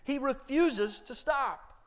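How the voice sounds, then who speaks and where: Male preacher, higher register, animated storyteller voicing characters in his own voice. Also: slow pace. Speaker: pastor. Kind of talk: sermon storytelling.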